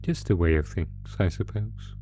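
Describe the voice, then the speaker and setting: A man voicing a sadistic monster in a horror roleplay audio drama, toying with a captive in a taunting, mock-polite manner with savoring pauses. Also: low voice, expressive, music in the background. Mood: savoring, cruel. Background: musical score.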